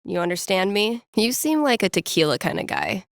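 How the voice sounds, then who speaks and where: A woman's voice: mid-range voice, wildly swooping pitch, moderate pace, vocal fry, dry recording. A woman playing a wry, playful young American radio DJ in a video game, speaking casually with dry, sardonic, self-deprecating asides. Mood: teasing. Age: young adult.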